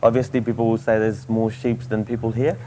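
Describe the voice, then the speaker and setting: Low-voiced New Zealand event organizer. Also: male voice, level tone, mellow, a little hiss or hum. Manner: earnest, halting, many fillers. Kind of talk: interview answers. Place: outdoors at a motorsport event.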